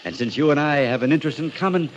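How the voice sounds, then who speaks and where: An actor playing a genteel, eccentric elderly gentleman in an old-time radio drama, speaking in an urbane, theatrical way. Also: on the high side, very fast, highs cut off, slight background noise, natural voice. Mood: urbane, persuasive. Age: older.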